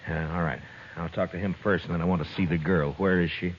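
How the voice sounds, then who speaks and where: An actor playing a stern frontier marshal in an old radio western, narrating and speaking in a measured, grave manner. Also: male voice, sits low, steady tone, slight background noise, natural voice. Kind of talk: 1950s radio drama narration and acting. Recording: muffled old radio recording with music.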